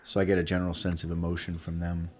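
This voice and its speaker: Male game player, slightly deep voice, informal conversational delivery. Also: completely flat delivery, fast talker, darkish tone. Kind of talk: casual game chat.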